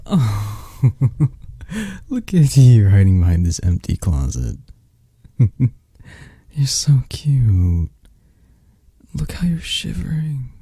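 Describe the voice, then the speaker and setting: A man voicing an obsessed, flirty, possessive male kidnapper in a hushed, halting ASMR roleplay. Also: mid-range voice, very animated, dry recording, studio quality, full character voice. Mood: teasing, tender. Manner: hushed, halting, breathy-soft delivery.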